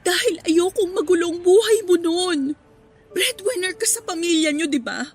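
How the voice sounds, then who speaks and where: A woman's voice: very high voice, lively intonation, moderate pace. A woman playing a guarded, firm single mother in a radio melodrama, emotional and defensive, with a Filipino accent. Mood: tearful confession.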